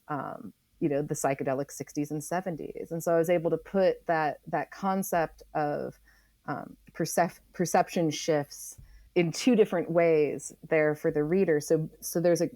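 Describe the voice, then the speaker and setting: Interview guest: American woman, low pitch, emphatic and conversational, thinking aloud, very dry sound. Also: dark tone, no echo. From Oregon, United States. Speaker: interview guest, author and geologist. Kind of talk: reflective conversational interview explanation.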